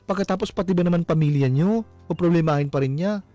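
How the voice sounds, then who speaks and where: A man's voice: a little high, fast talker, warm tone, no room sound, faint music behind. A man with a Filipino accent hosting a radio advice show, chatting casually and teasingly with a caller. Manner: teasing, amused, laughs readily. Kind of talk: casual chat, advising a caller. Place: radio studio.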